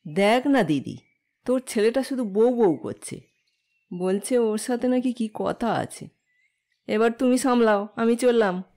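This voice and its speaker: A woman's voice: fairly high pitch, darkish tone. Performer acting a bossy, teasing aunt, a woman. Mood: complaining. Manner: scolding, brisk. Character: bossy, teasing aunt shooing her nephew. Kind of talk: Bengali audio drama acting.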